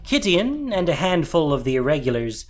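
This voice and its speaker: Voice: mid-range voice, very animated, moderate pace. A narrator reading a scripted dramatic fantasy story, with music behind.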